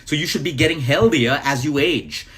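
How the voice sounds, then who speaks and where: A man's voice: a little high, moderate pace, a bit bright. A man speaking directly to listeners about looking after their health, urging and emphatic, repeating his key points. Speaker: wellness speaker. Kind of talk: advice talk to listeners.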